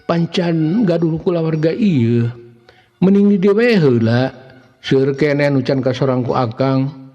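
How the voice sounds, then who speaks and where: A man's voice: a little high, unhurried, dark tone, soft background music. A Sundanese-accented man voicing a persuasive forest companion in a folk tale, coaxing a friend. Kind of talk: folk tale character dialogue. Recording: long reverb, dull muffled sound.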